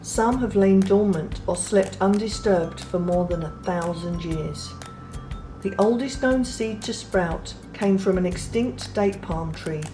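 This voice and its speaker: A woman reading a children's picture book aloud in a gentle, unhurried way, pausing softly between phrases. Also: mid-range voice. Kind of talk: read-aloud storybook narration. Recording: read over clear background music.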